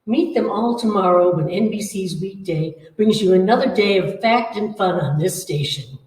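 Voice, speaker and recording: A woman's voice: mid-range voice, normal speed, darkish tone. A woman reading a scripted old-time radio promotional announcement. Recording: echoey room, distant mic.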